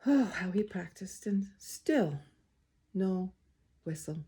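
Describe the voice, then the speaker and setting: Slow-paced woman reading to young children. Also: on the low side, breathy edge. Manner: expressive, gentle pauses, talks to young children. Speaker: storybook reader, school well-being coordinator. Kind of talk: children's picture book read-aloud with questions to listeners.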